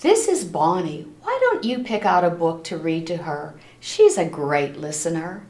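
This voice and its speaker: A woman's voice: fairly low pitch, very animated, a little slow, darkish tone. Woman as kindly encouraging librarian, echoey room. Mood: kindly, inviting. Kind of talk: children's storybook read-aloud.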